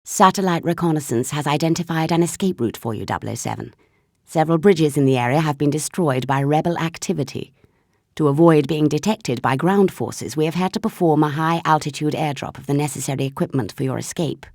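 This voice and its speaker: A stern female spy chief for a game briefing. Her voice is low and creaky, formal and clipped, recorded close and dry with full authority. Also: a little fast, darkish tone, no room sound. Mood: businesslike. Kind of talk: scripted video game mission briefing.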